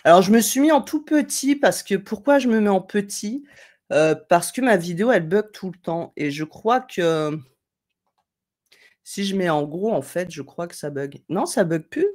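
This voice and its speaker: A woman's voice: mid-range voice, animated, moderate pace, a little mellow. Chatty woman with a head cold. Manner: chatty, digressive, friendly, thinking aloud with fillers. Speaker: live stream host. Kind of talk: casual live tutorial, reading chat comments. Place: home room at a desk, indoors.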